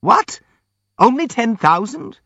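Man putting on a squeaky, petulant young Hippolyte. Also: sing-song, moderate pace, fairly bright tone, no room sound, dull audio. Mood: vehement, indignant. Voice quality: squeaky, shrill.

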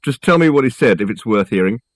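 A man voicing a large, unflappable college porter in an audiobook, deadpan and politely formal. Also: medium pitch, quick pace, dry recording, lightly acted. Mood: dry, unimpressed.

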